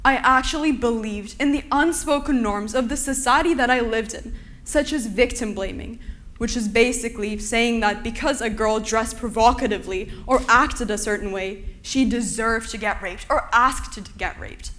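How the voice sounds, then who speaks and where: A young woman from Turkey giving an earnest, measured prepared talk to an audience. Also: a little high, a bit bright. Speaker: speaker.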